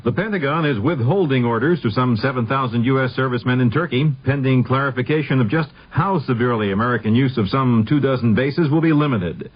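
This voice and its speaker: A man's voice: mid-range voice, fast talker, few pauses, slightly rough. A man anchoring a scripted radio news bulletin, heard on a muffled vintage 1970s broadcast.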